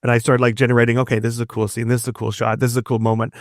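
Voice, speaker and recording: A man chatting casually as an interview guest, enthusiastic and rambling, often repeating words in quick bursts. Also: medium pitch, flat delivery, no room sound, clean studio sound. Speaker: interview guest, narrative designer and writer.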